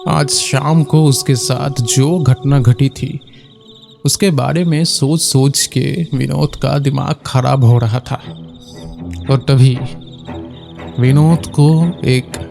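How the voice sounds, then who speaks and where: A man narrating a scripted horror story in a measured, suspenseful way. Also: mid-range voice, steady tone, creaky. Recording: loud music behind the voice. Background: loud music.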